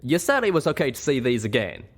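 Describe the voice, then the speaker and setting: A man's voice: mid-range voice, big pitch swings, fast talker, pitched above the performer's own voice. A man voicing an easygoing, wry male pony companion with a folksy manner in a storybook reading.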